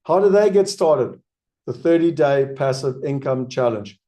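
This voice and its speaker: South african guy hosting a webinar, warm mellow tone, keeps repeating his points, lots of ums. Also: mid-range voice, lively intonation, unhurried. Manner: emphatic, repeats key points, frequent ums. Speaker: webinar host answering questions. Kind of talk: live webinar Q&A sales pitch.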